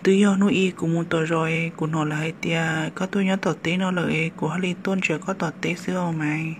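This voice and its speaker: A woman's voice: on the low side, steady tone, fast talker, slight background noise, natural voice. A woman voicing a chatty village woman in a homemade cartoon, talking in a plain, conversational way and recorded on a phone. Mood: hushed. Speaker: voice actor. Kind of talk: amateur cartoon voice acting. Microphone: phone microphone.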